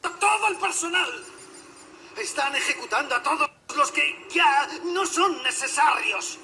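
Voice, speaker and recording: Casting: male actor as frightened thriller scientist, extremely high strained pitch, very slow dramatic delivery. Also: expressive, slight background noise, exaggerated voice. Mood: fearful, urgent. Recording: played clip under loud music.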